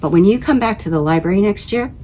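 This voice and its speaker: A woman reading aloud as a school librarian in an expressive children's storybook style, with emphatic phrasing. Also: mid-range voice, fast talker, slight background noise.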